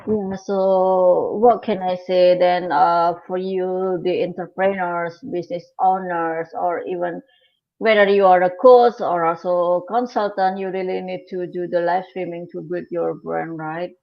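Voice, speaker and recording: A woman's voice: on the low side, fairly even intonation, measured pace, vocal fry, highs cut off. A woman hosting an online interview who asks long, loosely worded questions. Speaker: host.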